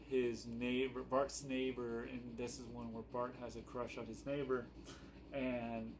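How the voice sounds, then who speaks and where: Slow-talking man, halting and rambling. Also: medium pitch, flat delivery. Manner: halting, rambling, often trailing off and correcting himself. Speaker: video host, fan commentator. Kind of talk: casual unscripted chat to camera. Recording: noticeable background noise.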